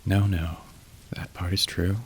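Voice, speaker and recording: A man's voice: sits low, little pitch movement, a little slow, slight background noise. A man voicing a polite, wry incubus phone operator in an ASMR roleplay, suggestive yet awkward, with rain behind him. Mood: awkward, pleading. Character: suave, awkward young male incubus working a phone line. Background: falling rain.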